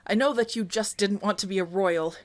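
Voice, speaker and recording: A woman playing a loyal, resigned attendant in a fantasy audio roleplay, speaking quietly with frank, earnest disappointment. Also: mid-range voice, fairly quick, close to an everyday voice. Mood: disappointed.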